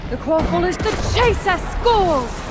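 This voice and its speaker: Casting: female fantasy game match announcer, high register, unhurried play-by-play, loud music underneath. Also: very animated, heavily acted. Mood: focused commentary. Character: female Quidditch match commentator in a wizarding video game. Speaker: sports commentator. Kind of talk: scripted video game match commentary.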